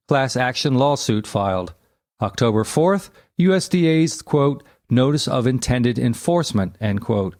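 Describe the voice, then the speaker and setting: A man narrating an encyclopedia article aloud in a measured, factual, scripted delivery. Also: medium pitch, a little mellow, no room sound, studio quality.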